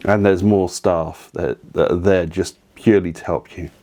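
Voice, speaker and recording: A man talks through family travel tips in an easy, chatty way. His voice sits fairly low. He drifts into opinionated asides and pauses on plenty of ums. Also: steady tone, mellow. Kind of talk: casual advice talk to camera.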